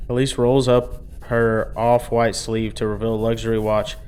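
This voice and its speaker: A man's voice: mid-range voice, little pitch movement, normal speed, darkish tone, smooth. A man reading a visual novel aloud as narration, breaking off for casual commentary.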